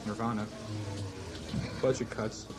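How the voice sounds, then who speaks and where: A man reeling off a comic list in a deadpan style. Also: fairly low pitch, slow. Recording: loud music over the voice.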